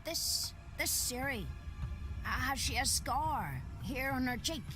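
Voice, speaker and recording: Hesitant villager woman, highish pitch, halting, uneasy, bitter, fantasy game, music behind. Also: animated. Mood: hesitant, shaken. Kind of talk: fantasy video game acting.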